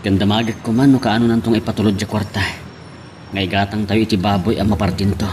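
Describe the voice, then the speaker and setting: A man's voice: fairly low pitch, level tone, quick pace, darkish tone, a little hiss or hum, close to an everyday voice. A man with an Ilocano Filipino accent playing a worried father in an audio drama. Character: worried father waiting on his absent wife. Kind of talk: Ilocano radio-style drama acting.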